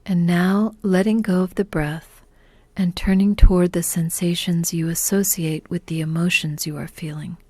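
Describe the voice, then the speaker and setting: A woman leading a guided meditation in a soft, breathy, half-whispered voice, calm and gentle, with unhurried pauses. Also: lowish voice, no room sound. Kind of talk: guided meditation, scripted narration.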